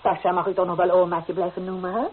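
A woman's voice: fairly low pitch, level tone, fast, a little hiss or hum, lightly acted. Actress as genteel society mother, tinny radio sound. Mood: proud, genteel. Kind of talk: Dutch radio drama acting. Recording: tinny old radio play recording.

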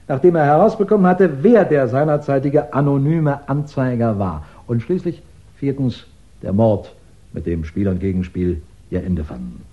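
Ein Mann spielt in einem Krimi-Hörspiel der sechziger Jahre einen scharfsinnigen Ermittler, der bedächtig, höflich und förmlich bohrend einen Mordfall darlegt. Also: male voice, mid-range voice, warm tone, close to an everyday voice. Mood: methodical, grave. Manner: deliberate, courteous, probing, formal. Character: shrewd male investigator methodically laying out a murder case. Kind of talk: 1960s German radio crime drama acting. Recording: dull 1960s radio recording.

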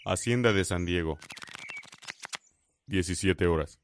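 A male announcer reads scene headings for a radio drama. His voice is low, slow and formal, with faint noise behind it.

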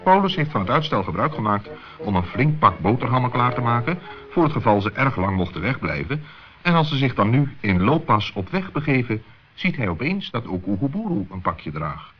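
A man's voice: mid-range voice, sing-song, a little fast, a little hiss or hum. A man narrating a scripted children's story as a radio play narrator. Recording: dull old radio recording, music behind. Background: music.

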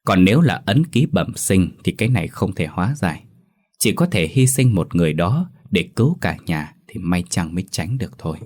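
A man voicing a sly old village sorcerer in a story, smug and deliberate as he speaks. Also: low voice, very animated, a little mellow. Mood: ominous. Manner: slow-tempered, smug, deliberate. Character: sly, unhurried old village sorcerer delivering dire verdicts.

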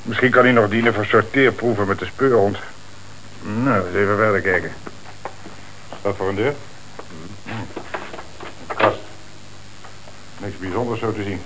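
Radio drama police inspector: fairly low voice, calm, businesslike, Netherlands Dutch accent, hissy old recording. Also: male voice, measured pace, long pauses, low-quality recording. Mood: brisk, inquiring. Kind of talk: Dutch radio play acting.

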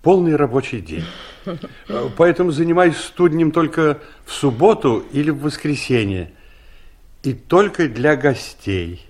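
A man's voice: a little high, expressive, unhurried, darkish tone. An older man acting in an audio drama, playing a mild, fussy, law-abiding investigator who explains things earnestly and pedantically. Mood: pedantic, homely. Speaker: actor in a radio play.

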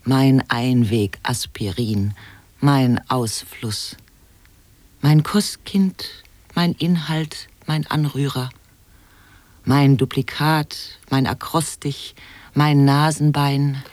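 An actress performing a solemn, deliberate monologue of absurd wordplay in a radio play, measured, incantatory and precisely articulated. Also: female voice, rumbling low, steady tone, darkish tone, dry recording, full character voice. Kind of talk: avant-garde radio play recitation of sound poetry.

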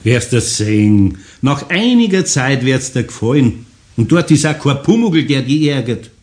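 Male actor as older Bavarian carpenter, good-natured, homely, everyday mid-pitched delivery for radio drama. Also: very animated, moderate pace, a little mellow, lightly acted. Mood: kindly, reassuring. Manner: homely, conversational. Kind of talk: German radio play acting.

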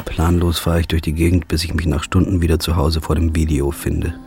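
A man narrating in the first person in a flat, brooding, understated way, as a noir audio drama lead. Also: extremely low pitch, fairly quick, over music.

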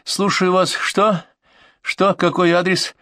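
A woman's voice: fairly low pitch, level tone, takes it slowly, a bit bright, no echo, clean studio sound, pitched above the performer's own voice. A man voicing a young, short-tempered ambulance dispatcher woman who snaps at callers. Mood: exasperated. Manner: snappy, exasperated.

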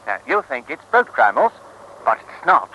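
An actor playing a courteous, excitable English gentleman with an English accent, hesitantly mixing English into Dutch in an old comic radio serial. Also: mid-range voice, normal speed. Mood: earnest, hesitant. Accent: English, put on. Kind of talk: 1950s-60s comic radio serial acting. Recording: dull, hissy old radio recording.

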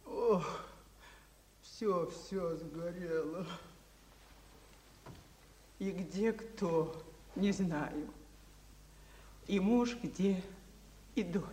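Weary, very slow-speaking refugee woman. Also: fairly low pitch, a little hiss or hum. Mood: weary grief. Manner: halting, weary, quiet speech. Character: exhausted, grieving wartime refugee woman from a burned city. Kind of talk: Soviet radio drama acting.

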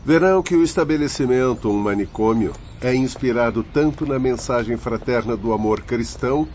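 An actor playing a learned, courteous doctor spirit guiding visitors, formal and explanatory, with a Brazilian accent, in a radio drama. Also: male voice, medium pitch, normal speed, darkish tone, natural voice. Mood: solemn, explaining. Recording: muffled audio, faint background noise.

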